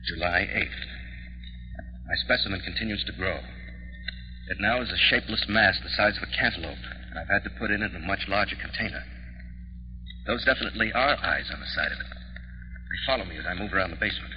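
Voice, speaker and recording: A man's voice: low voice, a little fast, long pauses, natural voice. An American actor in a mid-century radio drama playing a mild middle-aged father who tinkers absent-mindedly as an amateur inventor. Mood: intent, secretive. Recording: muffled noisy old radio recording, long reverb.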